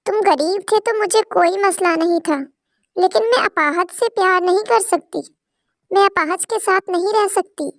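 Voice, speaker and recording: A woman's voice: very high-pitched, normal speed, voice pushed up. A woman voicing a young college woman in a cartoon moral story, sweet but blunt and practical. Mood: cold, blunt.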